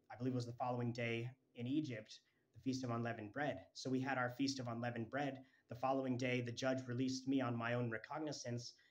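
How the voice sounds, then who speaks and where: A man's voice: medium pitch, rough recording. A man in his thirties from Minnesota, talking earnestly into a handheld phone in a small indoor room, piling clause on clause with restarts as he makes a devotional case. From Twin Cities, Minnesota. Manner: fervent, rambling, piles up clauses with restarts. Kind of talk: earnest religious monologue to camera. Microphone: phone held close, selfie framing.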